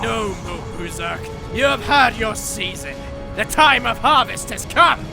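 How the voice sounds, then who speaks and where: A man's voice: high-pitched, lively intonation, a little slow, gravelly, full character voice. A man voicing a commanding, solemn hero leader in a motion comic. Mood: solemn, resolute. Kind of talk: dramatic motion comic voice acting. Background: music.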